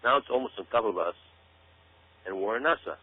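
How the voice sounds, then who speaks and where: A man's voice: lowish voice, expressive, a little fast. A man delivering an emphatic, dramatic commentary to listeners, repeating himself for effect. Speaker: guest commentator. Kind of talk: commentary monologue addressed to listeners. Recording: tinny phone-like radio sound with hum.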